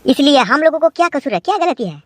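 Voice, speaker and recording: A woman's voice: sits high, animated, very fast, dry recording. A woman playing a swaggering male political henchman in a comic cartoon, coarse, taunting and threatening. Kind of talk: comic village cartoon dialogue.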